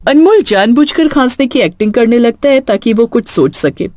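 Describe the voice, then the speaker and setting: Casting: female horror-story narrator, fairly high and highly expressive, dramatic, closely and dryly recorded. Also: normal speed, dry recording.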